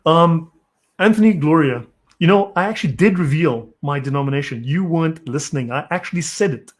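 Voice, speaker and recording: A man's voice: on the high side, fairly quick. A South African man hosting a live stream, who reads from articles and answers chat comments bluntly and impatiently. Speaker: channel host.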